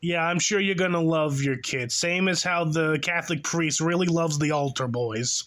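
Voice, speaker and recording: A young man in his twenties talks over a clip in a sarcastic, exasperated way, with a voice pitched a little above most men's. He mocks the clip's speaker in a drawn-out, ridiculing commentary. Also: a bit bright. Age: 20s. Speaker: reaction video host.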